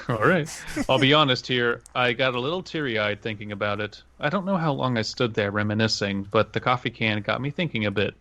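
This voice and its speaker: Bright, forceful man reading aloud. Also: medium pitch, lively intonation, a little fast. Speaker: podcast co-host. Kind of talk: reading a story aloud with casual asides.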